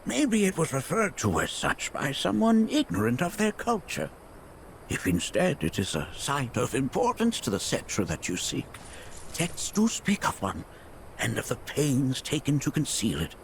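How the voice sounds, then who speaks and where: A man playing an eccentric, kindly old sage in a video game, creaky and theatrical, with rambling, old-fashioned phrasing. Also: medium pitch, wildly swooping pitch, normal speed, slight background noise. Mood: scholarly. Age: older. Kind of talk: video game cutscene acting.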